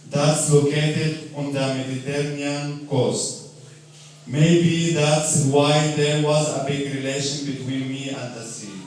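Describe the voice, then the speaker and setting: Slow, earnest man in an echoey room. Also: on the high side, a little mellow, a little hiss or hum. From Lebanon. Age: 30s. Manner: slow, earnest and reflective, with restarts. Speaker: storyteller. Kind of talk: personal story read aloud. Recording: very echoey room, voice far from mic.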